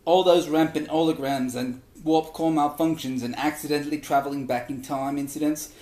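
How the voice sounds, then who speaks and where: A man reading a humorous online thread aloud in an animated way. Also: on the high side. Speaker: reader of online posts. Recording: echoey, roomy sound.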